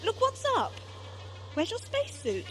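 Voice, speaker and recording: A woman's voice: very high-pitched, huge pitch swings, unhurried, heavily acted. A woman playing a feisty, questioning space traveller in a science fiction audio drama, insistent and exclamatory. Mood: puzzled.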